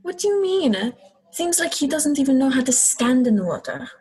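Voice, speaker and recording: A woman's voice: high-pitched, big pitch swings, moderate pace, a little mellow. A young woman playing a plaintive, questioning girl, an older sister, in a radio play. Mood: puzzled, questioning. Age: young adult.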